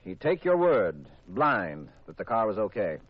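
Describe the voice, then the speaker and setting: A low-voiced, wry private investigator. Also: male voice, sing-song, unhurried, slightly rough, no echo, exaggerated voice. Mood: needling. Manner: dry, probing, pointed questioning. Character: cool, wry, probing male private investigator. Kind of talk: 1950s radio detective drama acting. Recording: dull vintage radio recording.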